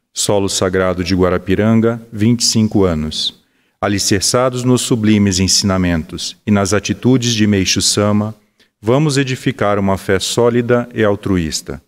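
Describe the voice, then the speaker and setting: A man in his forties opening a church service with a measured, solemn formal announcement at a lectern microphone. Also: lowish voice. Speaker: service leader. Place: wood-paneled church hall, at a lectern. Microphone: lectern mic. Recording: long hall reverb.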